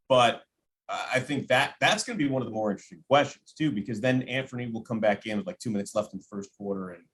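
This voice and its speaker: A man with a lowish voice talks through sports analysis as a guest announcer. He explains things in a measured, conversational way, thinking aloud. There is faint noise behind him. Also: fairly bright tone. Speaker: radio play-by-play announcer, podcast guest.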